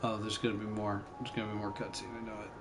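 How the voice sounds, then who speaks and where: A man's voice: on the low side, little pitch movement, racing. A man giving wry, joking live commentary as he streams a video game at home. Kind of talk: live Let's Play commentary. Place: at home, indoors. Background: game music.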